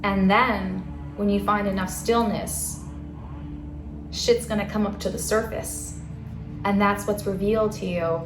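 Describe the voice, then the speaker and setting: A soft-spoken woman giving a casual spiritual talk to camera in a calm, earnest way. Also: mid-range voice, a little slow, long pauses. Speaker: spiritual channel host. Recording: roomy echo, faint music.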